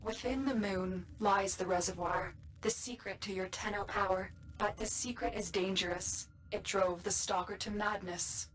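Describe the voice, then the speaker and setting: A woman playing a solemn, protective guide in a video game, giving grave, measured instructions with music behind her. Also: mid-range voice, fairly even intonation, slight background noise, natural voice, rough recording. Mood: confessing. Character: solemn, protective, commanding female guide and mother figure. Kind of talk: video game character acting.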